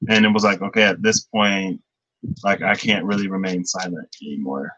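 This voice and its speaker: A man's voice: sits low, moderate pace, pushed and bright, lo-fi sound, slight background noise. Chatty young man reading tarot. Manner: rambling, chatty, laughs often. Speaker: tarot reader. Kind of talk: casual livestream tarot reading.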